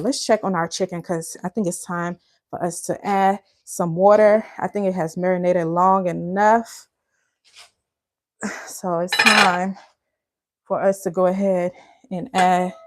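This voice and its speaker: A woman's voice: mid-range voice, fairly even intonation, unhurried, dry recording. A woman from Liberia hosting a live cooking stream, chatting casually with viewers and calling them y'all. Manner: chatty, addresses viewers as y'all, laughs often. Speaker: cooking channel host.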